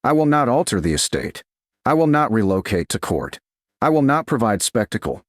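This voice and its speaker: A man voicing a stern, reserved duke in a romance story, calm, formal and terse. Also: medium pitch, moderate pace, no room sound, clean studio sound, lightly acted. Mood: calm, firm.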